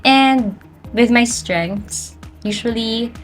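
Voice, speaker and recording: A woman's voice: a little high, drawn-out words, faint music behind. A woman with a Filipino accent in casual, reflective talk as a podcast co-host, mixing English with Tagalog. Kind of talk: casual reflective talk about personal experience.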